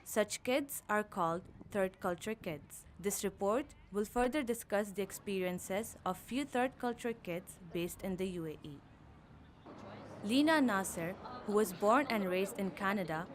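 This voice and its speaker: A young woman reading a student news report as narrator, in an even, measured way. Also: medium pitch, noisy background. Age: young adult.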